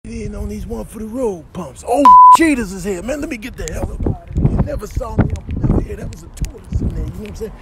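Brash squirrel, male performer: high-pitched, chatty, mock-offended comedy voiceover with background noise. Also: lively intonation, quick pace, creaky. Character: brash, cheeky squirrel feigning innocence. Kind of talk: comic animal voiceover. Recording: noisy phone clip audio.

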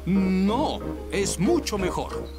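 A man voicing a jolly, warm-hearted father pig in a children's cartoon, playful and reassuring. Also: high-pitched, wildly swooping pitch, drawn-out words, vocal fry, over loud music, exaggerated voice.

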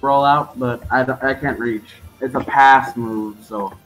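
A man's voice: mid-range voice, steady tone, a little slow, a bit bright, soft background music, poor audio. An eighteen-year-old man chatting casually as a player in a tabletop game. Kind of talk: casual chat.

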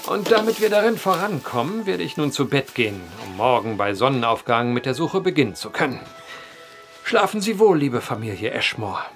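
An actor playing an earnest, determined gentleman writer in a German audio drama, speaking with precise, old-fashioned eloquence. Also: mid-range voice, animated, normal speed, soft background music. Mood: courteous.

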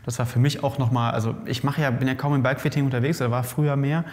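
A male host in casual expert conversation, lively and explaining, often restarting mid-sentence, in a bike fitting lab. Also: mid-range voice, racing. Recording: long reverb in a lab room.

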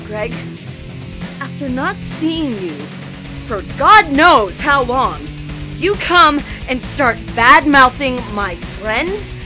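A woman's voice: high-pitched, sing-song, slow pace, music in the background, exaggerated voice. A woman playing a cynical, emotional teenage schoolgirl in an amateur online radio drama. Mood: angry, hurt. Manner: emotional, indignant, emphatic. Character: cynical, defiant teenage schoolgirl, secretly a model.

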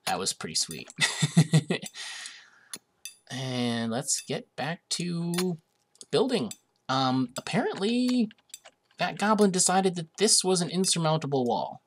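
A man's voice: a little high, sing-song, slow pace, pauses often. A young man narrates his strategy game in a casual, rambling way, stopping often on "uh" and "um." He is getting over a cold and sounds a little sniffly. A faint hiss and the click of a mouse sit under his voice. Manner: chatty, hesitant with many uhs, amused. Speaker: gaming video host. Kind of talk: casual let's-play commentary.

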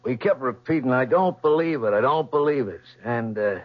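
An actor playing a plainspoken police officer in a radio drama, casual and matter-of-fact. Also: male voice, medium pitch, expressive, moderate pace, natural voice. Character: plainspoken male police officer reporting to superior. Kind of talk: 1970s radio drama acting. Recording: muffled vintage radio broadcast.

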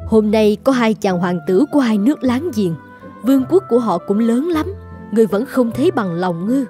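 A woman's voice: fairly high pitch, lively intonation, moderate pace, mellow, faint music behind, natural voice. A woman playing a strict royal mother, a queen, speaking formally in a children's cartoon. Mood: questioning. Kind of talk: dubbed children's cartoon acting.

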